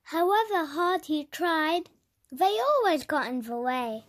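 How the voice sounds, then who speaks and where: A very high-pitched, childlike voice reads a picture book aloud. The delivery is extremely slow and deliberate, with dramatic emphasis suited to young children. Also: animated, fairly bright tone. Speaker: children's storybook reader. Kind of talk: expressive read-aloud narration for young children.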